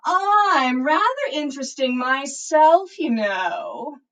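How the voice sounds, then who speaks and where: Lady putting on a smug teen wizard boy, slow haughty drawl, loud n self-important, storybook style. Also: high-pitched, sing-song, pitched above the performer's own voice, full character voice.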